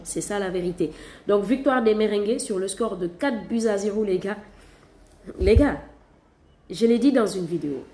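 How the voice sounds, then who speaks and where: Animated woman reviewing football, roomy echo. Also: fairly low pitch. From Catalonia. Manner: animated, emphatic, repeats phrases for stress. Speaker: football channel host. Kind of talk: casual football match review.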